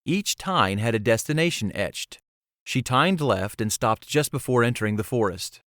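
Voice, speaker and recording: A man narrates a fantasy audiobook in a medium-pitched voice. The delivery is scripted storytelling, recorded close with no room sound. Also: animated, normal speed, a bit bright, dry recording. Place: close, dry recording space.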